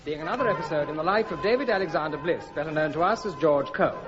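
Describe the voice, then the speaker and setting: Male announcer, formal vintage introduction, high pitch, dull archive sound. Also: rapid-fire. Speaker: radio announcer. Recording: muffled old broadcast with music.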